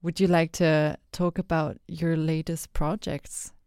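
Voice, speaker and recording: A woman hosting a podcast interview in a studio, calmly asking open questions. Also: deep voice, unhurried, clean tone, dry recording. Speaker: podcast host. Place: recording studio.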